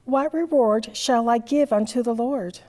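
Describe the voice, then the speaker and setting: A woman in her sixties leading a church service as priest, reciting psalms and prayers in a measured, formal way. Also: on the high side, over a noisy crowd. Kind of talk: liturgical reading. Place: large church sanctuary with stained glass. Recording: long church reverb.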